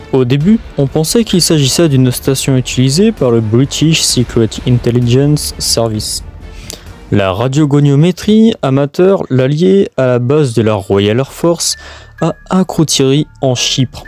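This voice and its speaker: A man presents a scripted documentary in a halting voice, stumbling now and then as he reads. His pitch sits in the middle range, and music plays behind him. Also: a little mellow.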